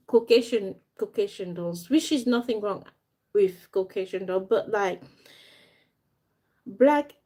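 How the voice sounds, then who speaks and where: A woman's voice: mid-range voice, a little mellow. Chatty young woman from Africa, live-streaming. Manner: chatty, rambling, greets and thanks viewers as she talks. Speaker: doll maker and fashion designer. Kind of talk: casual live-stream chat with viewers.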